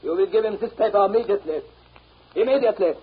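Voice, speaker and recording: Brusque, rapid high-voiced soldier played by an actor. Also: male voice, close to an everyday voice. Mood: curt, commanding. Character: brusque, bullying soldier delivering a summons. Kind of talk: 1930s radio drama acting. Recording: narrow, noisy 1930s radio recording.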